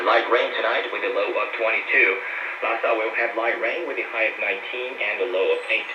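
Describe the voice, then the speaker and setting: Through heavy shortwave noise comes a man with a fairly low voice. He reads news and weather from a script as a radio anchor, sounding distant and echoey. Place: broadcast studio, heard over shortwave.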